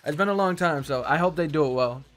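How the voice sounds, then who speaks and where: A man's voice: fairly high pitch, fast, fairly bright tone. A man chatting casually with viewers as a game streamer, friendly, appreciative and slangy. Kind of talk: casual livestream chat with viewers.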